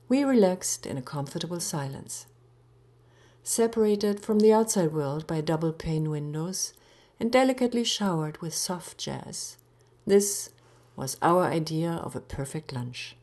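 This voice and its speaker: A woman reading her own short story aloud in a measured, expressive storytelling manner with deliberate pauses. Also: lowish voice. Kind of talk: literary short story narration.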